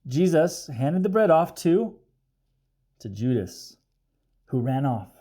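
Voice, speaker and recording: A man reading a storybook aloud for children in an explanatory way, pausing to question his young listeners. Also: a little high, very animated, mellow. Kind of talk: expressive children's read-aloud with asides.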